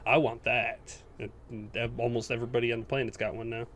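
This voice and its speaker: A man's voice: mid-range voice, little pitch movement, fairly quick, fairly bright tone, slight background noise. A man co-hosting a podcast, talking informally in a casual group chat with the odd swear word. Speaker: podcast co-host. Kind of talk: casual panel chat about gaming news.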